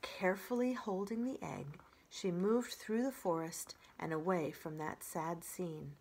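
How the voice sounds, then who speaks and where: A woman in her forties reading a children's story aloud in an expressive narrating style. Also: lowish voice, a little slow, breathy edge. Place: indoors by a brick fireplace.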